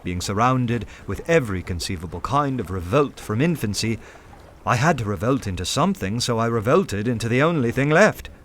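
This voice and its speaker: Casting: male actor as cultured artist-detective for radio drama; dry wit, crisp theatrical diction, background sound. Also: mid-range voice, lively intonation, a little fast, fairly bright tone, natural voice. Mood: wry, measured. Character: wry, cultured artist turned undercover detective. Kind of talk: dramatised first-person narration and dialogue. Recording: music or ambient noise behind.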